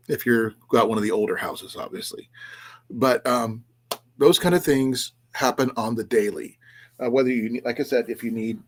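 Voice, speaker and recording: Slightly raspy man rambling on a livestream. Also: medium pitch, darkish tone. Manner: rambling, halting delivery with restarts and trailing asides. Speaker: livestream host of an outdoors and preparedness channel. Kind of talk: casual livestream chat, reading and answering viewers' comments.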